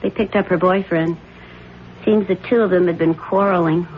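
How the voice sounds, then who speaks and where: An actress as inquisitive wife, muffled vintage radio. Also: female voice, fairly low pitch, fairly even intonation, normal speed, natural voice. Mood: matter-of-fact. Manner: conversational, inquisitive. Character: attentive wife, gently worried and chatty at breakfast. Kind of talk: 1970s radio drama acting, domestic dialogue. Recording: dull, faintly noisy 1970s radio recording.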